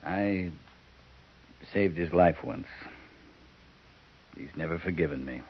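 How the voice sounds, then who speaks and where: Low-voiced, terse, bitter cavalry captain, old muffled radio recording. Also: male voice, slow pace, breathy edge, full character voice. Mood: brooding. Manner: terse, clipped, brooding. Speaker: army captain. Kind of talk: 1950s radio drama acting. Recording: muffled 1950s radio broadcast.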